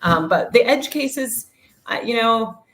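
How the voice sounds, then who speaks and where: A woman guest in a casual interview, explaining things at length in an articulate way, filling pauses with ums. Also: fairly high pitch, expressive, a bit bright, slight background noise. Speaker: guest, researcher and parent.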